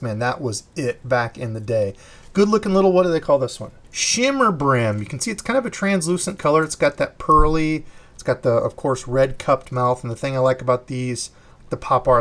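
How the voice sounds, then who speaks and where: A man's voice: medium pitch, a bit bright. A young man hosting his fishing channel, chatting with enthusiasm as he unboxes and shows lures indoors. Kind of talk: casual unboxing chat.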